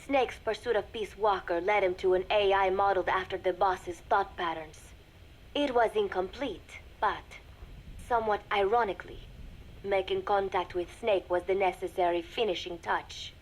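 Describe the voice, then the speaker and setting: A composed young female spy, played by a woman, records a secret log for a video game. Her delivery is measured and formal, with careful, deliberate wording and a mid-range pitch. The tape has clear noise behind her voice. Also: fairly even intonation, lightly acted. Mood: cool, calculating. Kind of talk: video game voice acting, spoken audio log. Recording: noisy cassette tape recording with ambience.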